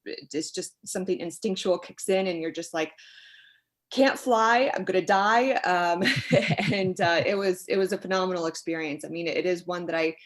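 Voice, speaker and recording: A middle-aged woman speaks as an expert guest in an interview, her voice a little on the low side. She explains things conversationally and reflectively, feeling her way through phrases with small halts. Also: normal speed. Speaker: expert guest, sociologist and author.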